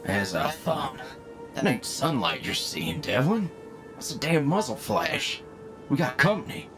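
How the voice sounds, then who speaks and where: A man playing a gruff, wary crew leader in an audio drama, terse and folksy in his talk. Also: on the high side, expressive, measured pace, over loud music. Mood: tense. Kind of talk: anime audio roleplay acting.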